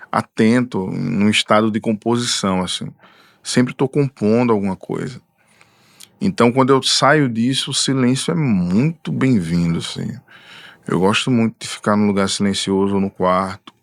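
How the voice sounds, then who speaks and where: A man talking as a musician guest, speaking in an unhurried, thoughtful way with trailing fillers. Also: fairly low pitch, vocal fry, no echo, studio quality. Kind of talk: reflective casual conversation. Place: studio.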